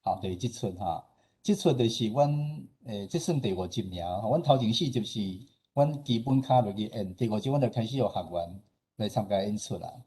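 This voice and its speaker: A man with a low, husky voice and a Taiwanese accent presents informally. His tone is dark and relaxed as he explains things, often ending phrases on little particles. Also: fairly quick. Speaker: radio station head presenting at a forum.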